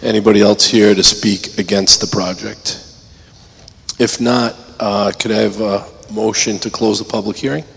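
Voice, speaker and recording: A man chairing a council public hearing, hoarse and losing his voice, clearing his throat. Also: on the low side, fairly even intonation, normal speed, a bit bright. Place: council chamber. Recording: echoey chamber, faint noise.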